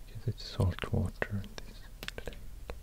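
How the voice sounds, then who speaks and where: A man with a Swedish accent murmuring ASMR in a breathy whisper, hesitantly and close to a binaural microphone. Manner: slow, hesitant, murmuring to the listener. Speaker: ASMR creator. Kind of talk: soft-spoken whispered ASMR.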